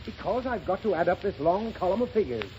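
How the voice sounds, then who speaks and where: A man's voice: high-pitched, big pitch swings, a little fast, darkish tone, slight background noise. An exasperated fussy father. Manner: exasperated, scolding. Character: harried, impatient father at his desk. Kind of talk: 1930s radio comedy acting. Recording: muffled 1930s radio recording.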